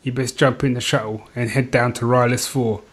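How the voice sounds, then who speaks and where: A man's voice: medium pitch, little pitch movement, a little fast. A British man chatting informally as a podcast co-host, often checking that his listener follows. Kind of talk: casual chat.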